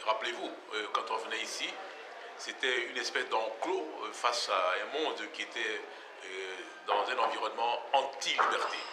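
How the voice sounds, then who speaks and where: A man answering an interview formally and emphatically, with measured rhetorical repetition. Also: on the low side. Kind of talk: formal, spontaneous political interview. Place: outdoor public square. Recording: echoey, very noisy outdoor recording.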